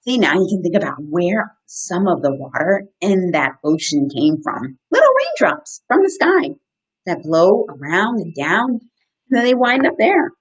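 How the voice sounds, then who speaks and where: A woman in her thirties, an educator reading to children in an animated, questioning way and explaining as she goes. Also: on the low side, unhurried, breathy edge, poor audio. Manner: animated, asks the listeners simple questions. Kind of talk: interactive children's story time, reading and explaining.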